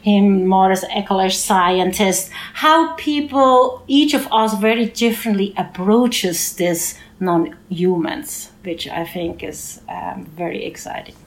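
A woman from Switzerland speaking English with a Swiss accent, a choreographer answering interview questions and often pausing to find her words. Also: mid-range voice, creaky. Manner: searching for words, non-native phrasing. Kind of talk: conversational interview.

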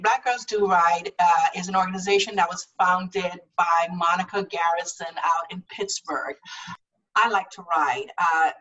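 A woman's voice: lowish voice, fairly even intonation, a little slow, pushed and bright, far from the mic, highs cut off. A woman from Guyana, a guest telling about her cycling group in an earnest, casual way that repeats words as she goes. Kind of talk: casual interview talk.

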